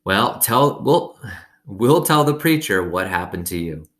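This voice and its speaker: A man's voice: lowish voice, big pitch swings, measured pace, fairly bright tone. A man reading as a taunting ten-year-old bully boy in a storybook. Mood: threatening.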